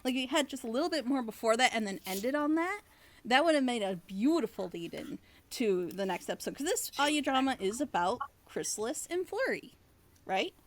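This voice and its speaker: A woman's voice: fairly high pitch, sing-song, a little fast. A woman giving casual critique in a group chat, speaking emphatically and bluntly and acting out imagined reactions. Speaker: reviewer giving feedback on an audio drama.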